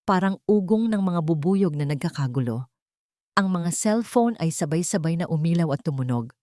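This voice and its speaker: A Filipino woman narrating a scripted love story in a measured, dramatic storytelling manner. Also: on the low side, a little mellow, no echo, studio quality.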